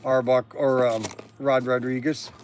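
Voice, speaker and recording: A man in his sixties hosting a casual, rambling livestream, halting and often repeating himself as he stumbles over words. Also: medium pitch, little pitch movement, slight background noise. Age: 60s. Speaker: livestream host selling hobby toys and model cars.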